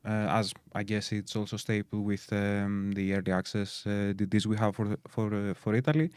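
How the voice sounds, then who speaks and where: A man with a Greek accent chats his way through a game stream, his voice low and resonant. The sound is close and dry, with no room in it. He leans on fillers as he walks through the details. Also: fairly even intonation, normal speed, a little mellow, clear, resonant tone, no room sound. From Greece. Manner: chatty, many fillers, explains game details. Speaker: stream co-host. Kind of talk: casual game-stream chat.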